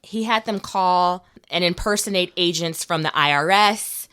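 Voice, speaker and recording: A woman with a slightly low voice hosts a podcast in loose, chatty storytelling. She is informal and animated when quoting people, recorded close and dry. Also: unhurried, clear, resonant tone, no room sound. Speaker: podcast host. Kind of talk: casual conversational storytelling.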